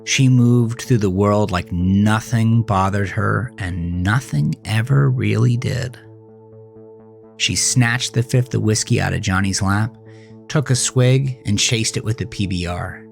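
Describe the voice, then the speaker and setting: A man narrating a scripted first-person horror story in a dramatic, deliberate way with drawn-out pauses. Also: on the low side, gravelly. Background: background music.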